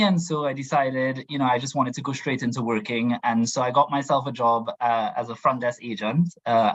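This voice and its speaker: A man's voice: medium pitch, a bit bright, no echo, dull audio. Trinidadian man on a dry video call. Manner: halting, repeats words. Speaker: panelist, LGBT advocate. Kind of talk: personal introduction on a panel.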